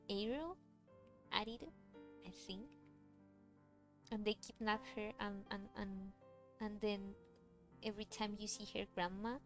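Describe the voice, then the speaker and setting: A woman's voice: medium pitch, a bit bright. A woman whose first language is Cantonese, chatting casually on a livestream while she draws, rambling and answering chat questions. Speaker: streamer drawing a webcomic. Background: faint music.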